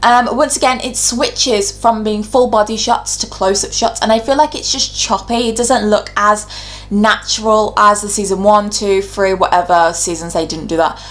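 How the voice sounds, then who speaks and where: A woman's voice: mid-range voice, fairly even intonation, normal speed. A woman hosting a YouTube video, giving casual, opinionated fan commentary in an informal, chatty way. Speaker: YouTube host.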